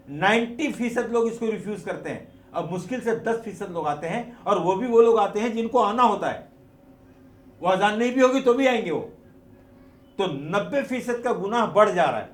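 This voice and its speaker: Mumbai hindi guy, pretty high voice, preaching a point hard with rhetorical questions, roomy echo. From India. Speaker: activist and petitioner giving a speech. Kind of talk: impassioned explanatory religious argument. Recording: echoey, roomy sound.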